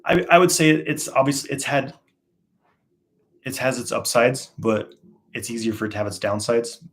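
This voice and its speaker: A man's voice: mid-range voice, expressive, normal speed. A man in his thirties hosting a live question-and-answer chat, talking loosely with many fillers. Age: 30s. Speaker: host.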